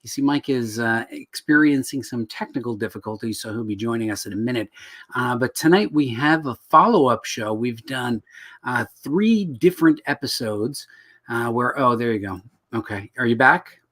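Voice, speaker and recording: A middle-aged man hosting a live comics-writing lesson over a video call, slightly hoarse, joking and self-deprecating. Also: mid-range voice, animated, moderate pace, a bit bright. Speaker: host. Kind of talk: casual livestream teaching. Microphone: video call webcam.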